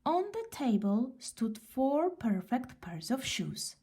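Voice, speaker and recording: Slow, mellow female storyteller. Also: a little high. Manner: unhurried, expressive narration. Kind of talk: children's story read aloud.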